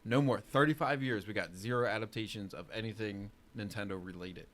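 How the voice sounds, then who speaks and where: A man's voice: fairly low pitch, a little fast, fairly bright tone. A man in casual podcast debate, giving emphatic opinions in slangy language. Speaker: podcast panelist.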